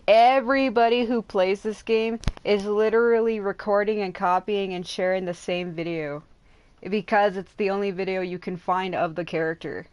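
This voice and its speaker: A woman with a slightly low voice talks casually on a gaming livestream. She rambles and vents in an offhand way, swearing casually as she goes. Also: no echo.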